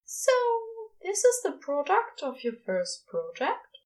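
A prim, fond angel of a gentleman, played by a woman, pitched well up and swooping expressively. He speaks with polite, old-fashioned courtesy, curious and gently teasing, in the manner of a storybook character. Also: huge pitch swings, slow, heavily acted. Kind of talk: fan fiction audiobook character voice.

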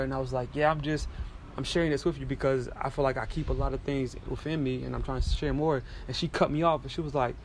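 Reflective young man, relaxed chat. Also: medium pitch, creaky, a little hiss or hum. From France. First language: French. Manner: reflective, rambling, repeats "you know". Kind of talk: casual conversation.

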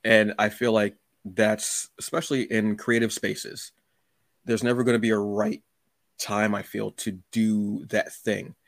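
A man's voice: lowish voice, level tone, a little slow, creaky, dry recording, studio quality. A man hosting a podcast in a casual chat, speaking emphatically and acting out little quoted remarks. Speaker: podcast host.

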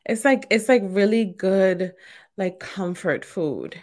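A woman's voice: mid-range voice, animated, slow pace, a little mellow. A woman from Brooklyn, New York, hosting a podcast and chatting casually, peppering her talk with "like" and "you know". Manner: conversational, uses "like" and "you know" often. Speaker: podcast host. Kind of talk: casual podcast interview chat.

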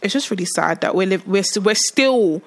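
A woman's voice: medium pitch, animated, moderate pace. A woman in her thirties, chatting casually as a podcast co-host, emphatic and rambling, with many fillers. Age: 30s. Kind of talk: casual chat.

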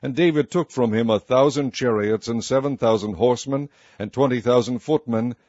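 A man reads scripture aloud at a slow, solemn, measured pace. His voice sits in a middle pitch. The recording is muffled and dry, with no room around it. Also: a little mellow, no echo. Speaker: Bible reader. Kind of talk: scripture reading.

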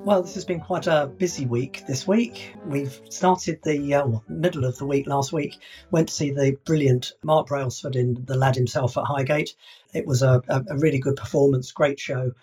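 A man's voice: medium pitch, fairly even intonation, moderate pace, darkish tone, breathy edge, faint music behind. A man from England co-hosting a podcast in casual chat, often restarting his sentences with fillers. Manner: hesitant, many restarts and fillers. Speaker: podcast co-host.

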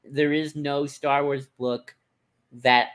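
A man in a casual podcast discussion, talking in a halting, rambling way and often circling back to rephrase. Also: mid-range voice, level tone, fairly bright tone. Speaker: podcast co-host.

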